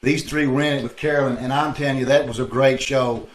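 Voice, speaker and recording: A man's voice: medium pitch, fairly even intonation, quick pace, a crowd in the background. An American man chatting casually as co-host of a troupe's show over a video call. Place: indoor room, video call. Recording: roomy, echoing video-call sound.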